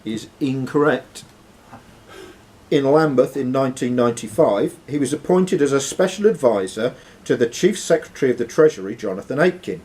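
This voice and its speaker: A man's voice: mid-range voice, expressive, moderate pace, a little mellow, breathy edge. A man hosting a live quiz in a club room, reading the questions aloud and ruling on answers. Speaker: quiz host and question reader. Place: sports and social club room.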